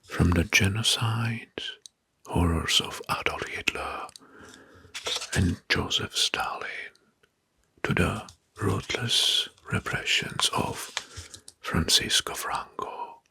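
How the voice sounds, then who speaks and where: A man whispers in a low, very husky and breathy voice with a gravelly edge. He narrates history in ASMR style, setting out short phrases slowly and deliberately. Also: bright, forceful tone, dry recording. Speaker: ASMR video host. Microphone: close microphone.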